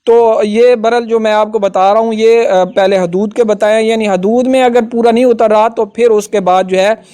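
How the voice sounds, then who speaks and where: High-pitched Pakistani man explaining, lecturer style. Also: steady tone, moderate pace, mellow, clean tone. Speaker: law lecturer.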